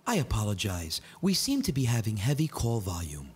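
A performer voicing a polite automated phone menu voice with a flat, over-polite robotic delivery. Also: male voice, fairly low pitch, brisk, reverberant, heavy background noise, pitched below the performer's own voice.

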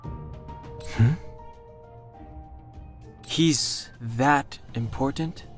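A man voicing a serious, cautious young man in a video game, with a measured, stern and deadpan delivery. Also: medium pitch, soft background music. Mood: grave, measured. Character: serious, cautious, reserved young male companion.

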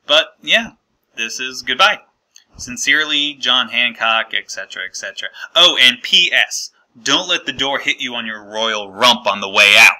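A man's voice: mid-range voice, expressive, moderate pace, pushed and bright. A man playing the American colonies as an awkward, fed-up partner leaving a breakup voicemail in a hesitant, conversational, aggrieved way. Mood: resolute, relieved. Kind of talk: comedic monologue as a breakup voicemail.

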